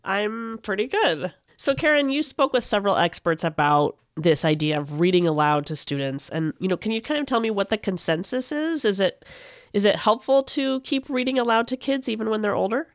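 A woman's voice: medium pitch, animated, brisk, dry recording, clean studio sound. An American woman hosting a podcast, moving between scripted narration and interview questions. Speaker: podcast host.

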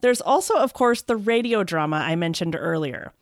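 A woman's voice: medium pitch, animated, normal speed. A woman reporter reading scripted narration for a radio feature. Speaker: education reporter and podcast host.

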